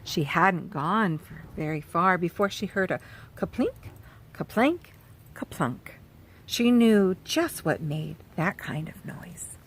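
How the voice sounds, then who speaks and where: A woman reads a children's storybook aloud with a lively, rising and falling voice. She talks gently to young listeners, pausing to point out the pictures as she goes. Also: lowish voice, breathy edge. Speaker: children's author reading aloud. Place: farm.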